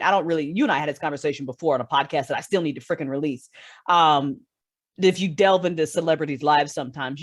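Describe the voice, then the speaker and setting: A woman chatting casually as a podcast guest, informal and effusive, quick to laugh. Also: low voice, no echo, clean studio sound. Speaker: podcast guest, writer and audio fiction creator. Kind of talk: casual chat.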